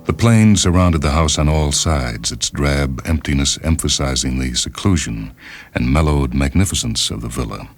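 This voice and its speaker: A man reading a literary short story as a radio host, in a measured, deliberate and formal manner, on a 1970s radio recording. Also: rumbling low, expressive. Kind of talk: scripted literary narration. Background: music bed behind the reading.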